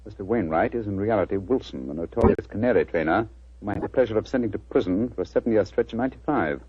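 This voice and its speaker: An actor in a 1940s radio drama playing a brisk, shrewd gentleman detective with an English accent and a formal, theatrical manner. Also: fairly low pitch, slightly rough, no room sound. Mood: wry, shrewd. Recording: dull, dry old radio recording.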